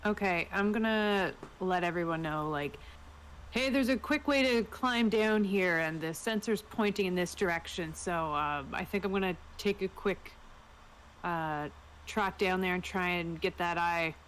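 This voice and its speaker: A woman's voice: lowish voice, a little slow, fairly bright tone, a little hiss or hum, rough recording. A woman chatting casually as a player in a tabletop roleplaying game.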